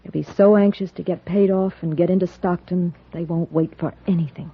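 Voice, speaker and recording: Female frontier doctor, dutiful, weary, husky, vintage western radio drama, muffled sound. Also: fairly low pitch, steady tone, fairly quick. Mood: grave, urgent. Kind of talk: 1950s radio western acting. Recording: dull old radio recording.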